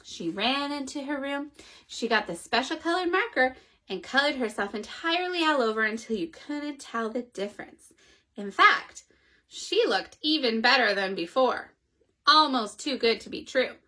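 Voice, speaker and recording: A woman reading a children's picture book aloud in an animated, expressive way. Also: high voice, moderate pace. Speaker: children's story reader. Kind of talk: storytime read-aloud.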